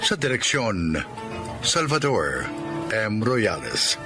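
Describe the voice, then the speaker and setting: A man reading scripted narration and announcements for a radio drama in a solemn, deliberate manner. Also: lowish voice, sing-song, music in the background.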